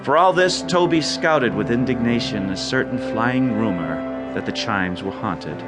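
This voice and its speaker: A man narrating a dramatized story in a theatrical, measured storytelling manner. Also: mid-range voice, expressive. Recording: loud music behind narration.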